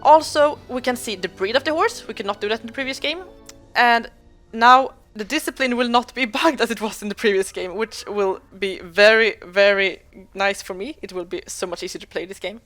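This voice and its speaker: Young woman, higher voice, chatty game walkthrough host, light music underneath. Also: moderate pace, fairly bright tone. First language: Swedish. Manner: chatty, explanatory, self-correcting. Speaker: gaming video host. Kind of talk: let's play walkthrough commentary.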